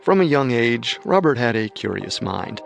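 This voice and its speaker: A man narrating a true-crime story in a measured, scripted storytelling manner. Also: medium pitch, big pitch swings, creaky, faint music behind.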